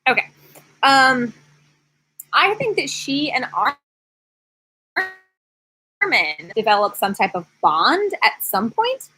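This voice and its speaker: Woman, thirties, bright voice, candid, animated, casual live-stream host, rambling chat. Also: on the high side, takes it slowly, long pauses, breathy edge, rough recording. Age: 30s. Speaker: YouTube host, former teacher. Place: screened outdoor porch.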